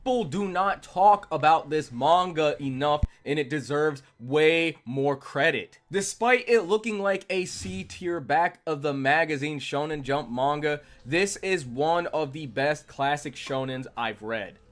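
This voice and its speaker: A man delivering review commentary as a video reviewer. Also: fairly high pitch. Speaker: manga reviewer. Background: background music.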